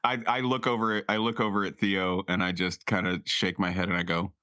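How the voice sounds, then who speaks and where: A man's voice: deep voice, flat delivery, a little fast, bright, forceful tone, smooth, dry recording, clean studio sound. A man talking casually as a tabletop game player and show announcer. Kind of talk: casual, improvised talk.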